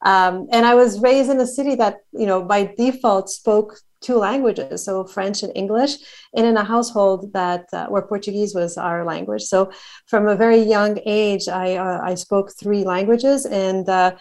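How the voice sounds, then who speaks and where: A woman's voice: mid-range voice, fairly even intonation, darkish tone. A woman from Montreal, a guest on an interview podcast, talking with enthusiasm and many fillers as she lists places and ideas. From Canada (Montreal). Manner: enthusiastic, talkative, quick listing with fillers. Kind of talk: casual interview conversation.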